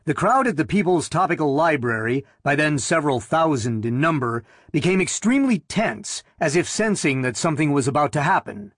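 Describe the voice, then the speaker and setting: Male reader doing polite TV news announcer, formal, lively, husky. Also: on the high side, fairly quick, few pauses, no echo, studio quality. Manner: formal, courteous interviewer.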